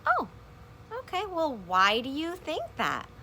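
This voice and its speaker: A woman with a very lively, swooping delivery talks to small children like a kindergarten teacher. She takes her time and often puts questions to them, with noticeable noise in the recording. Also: high-pitched. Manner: expressive, asks questions and pauses for answers. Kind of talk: read-aloud lesson to young children.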